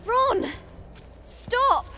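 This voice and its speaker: A woman's voice: very high voice, lively intonation, extremely slow, over music, heavily acted. A woman voicing an anxious, clever teenage girl witch in a video game, earnest. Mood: pleading, upset. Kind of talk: dramatic video game dialogue.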